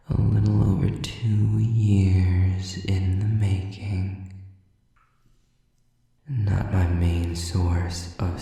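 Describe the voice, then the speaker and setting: A man playing a sadistic, predatory demon in an audio roleplay, speaking intimately and deliberately with menacing seduction, often dropping into a whisper. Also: sits low, dark tone, heavy reverb, distant mic, heavily acted. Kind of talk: audio roleplay addressed to the listener.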